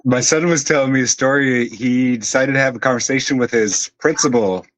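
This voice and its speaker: A man's voice: medium pitch, fairly quick, a bit bright. A father calling in to a talk show, casually coaxing his young son to speak. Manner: encouraging, coaxing. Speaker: caller, a father. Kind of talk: casual call-in chat. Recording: dull lo-fi call audio.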